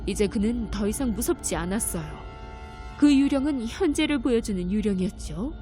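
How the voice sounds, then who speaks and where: A woman narrating a children's fairy tale in a gentle, clear storytelling manner. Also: on the high side, lively intonation, normal speed. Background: music.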